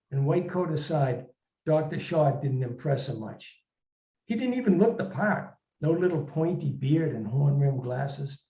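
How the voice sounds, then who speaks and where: A man's voice: a little high, mellow. A man reading his own short story aloud as literary narration. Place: indoor room at a reading event. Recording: roomy, slightly dull room recording.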